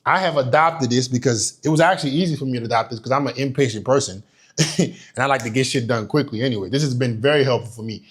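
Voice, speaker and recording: A man's voice: medium pitch, fast, husky. A young man from Nigeria giving a motivational business talk, emphatic and profane, hammering points home by repeating them. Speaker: business coach.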